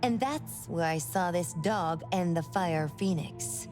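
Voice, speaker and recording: A woman voicing an excitable, self-mocking reclusive manga artist in a video game, with dramatic delivery. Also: fairly low pitch, slow. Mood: animated storytelling. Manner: dramatic, exclamatory. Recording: music behind the voice.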